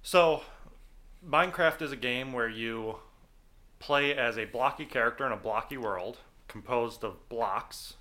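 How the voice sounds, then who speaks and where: Bright-voiced, laid-back young man. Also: medium pitch. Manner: laid-back, brief agreeing replies. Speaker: podcast co-host. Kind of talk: casual chat.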